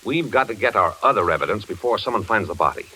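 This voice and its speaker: A man's voice: lowish voice, lively intonation, fast, sharp tone, slight background noise, poor audio. An older American man playing an easygoing, reluctant retired investigator in 1940s radio suspense style, folksy and reassuring. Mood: serious, confiding.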